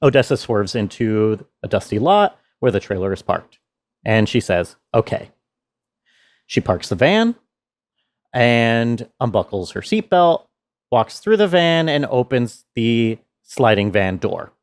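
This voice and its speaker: A man explaining his sound design work in a measured behind-the-scenes walkthrough. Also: medium pitch, very animated, dry recording.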